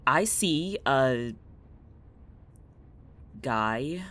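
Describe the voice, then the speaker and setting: An actor playing a weary, dry, bossy teenage girl in an audio drama, speaking in a curt deadpan. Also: mid-range voice, extremely slow. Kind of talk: naturalistic audio drama acting with monologue.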